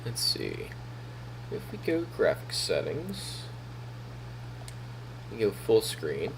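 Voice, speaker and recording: Man, groggy morning voice, casual stream chat, swooping pitch, faint hum. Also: slow, creaky. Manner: relaxed, talking to himself while troubleshooting.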